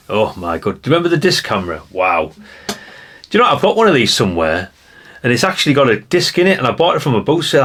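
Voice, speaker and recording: A man in his fifties with a lowish voice and plenty of gravelly creak. He chats casually and nostalgically as he browses, wandering from thought to thought as if thinking aloud for the viewer. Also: fairly bright tone. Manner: rambling, chatty, musing aloud to the viewer. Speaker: retro collector presenting a video.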